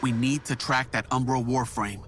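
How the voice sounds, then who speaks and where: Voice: mid-range voice, fairly even intonation, moderate pace, noisy background, lightly acted. A young actor playing a determined, stubborn space warrior in a video game, earnest and urgent. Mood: resolute. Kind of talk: video game character acting. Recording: voice over background music.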